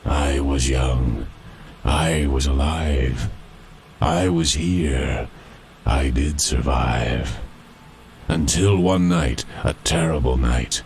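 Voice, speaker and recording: Man voicing a very deep, slow skeleton miner. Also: creaky, a little hiss or hum, full character voice. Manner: slow, drawn-out, ominous delivery. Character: skeleton of a dead old male miner, grave, warning. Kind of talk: rhymed spooky campfire poem.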